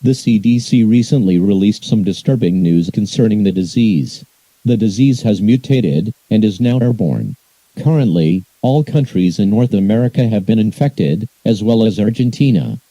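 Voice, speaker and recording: Very dark-toned male emergency announcer. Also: fairly low pitch, lively intonation, normal speed, no echo, poor audio. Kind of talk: formal scripted emergency bulletin.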